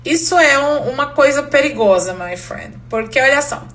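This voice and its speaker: A woman's voice: high voice, expressive, normal speed, a bit bright. A woman from Romania, a native Hungarian speaker with a Hungarian accent, teaching a live online language class, switching between Portuguese and English and laughing often.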